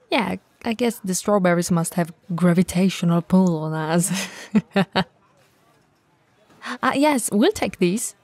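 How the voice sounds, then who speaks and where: Breathy, unhurried tall friendly woman, acted out. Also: on the low side, darkish tone, dry recording, heavily acted. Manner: casual, playful, hesitant and chatty. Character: tall, friendly, teasing woman bento shop owner. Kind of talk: intimate ASMR roleplay acting.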